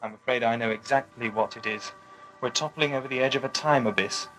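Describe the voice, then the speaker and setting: Guy playing a polite calm time traveller, mid-pitched, theatrical, music behind, old sci-fi audio play. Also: a little fast, lightly acted, poor audio. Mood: grave. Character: courteous, unflappable male time-travelling adventurer. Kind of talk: sci-fi audio drama acting.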